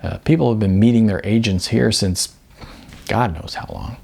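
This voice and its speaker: A man's voice: lowish voice, normal speed, gravelly, darkish tone, breathy edge. A man voicing a contented, wistful, overweight male model in an audiobook, chatting casually.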